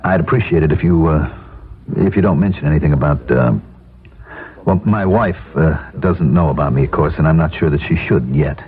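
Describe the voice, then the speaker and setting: An actor playing an anxious middle-aged American executive who has lost his job, brooding and halting in 1970s radio drama style. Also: a bass voice. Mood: tense, hesitant. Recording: muffled old radio recording, music behind.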